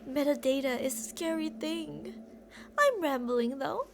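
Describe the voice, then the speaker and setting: A woman's voice: high-pitched, lively intonation, unhurried, creaky, over music, exaggerated voice. A woman playing an obsessive, delusional female kidnapper who rambles theatrically and teasingly to a captive in an ASMR roleplay. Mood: matter-of-fact rambling.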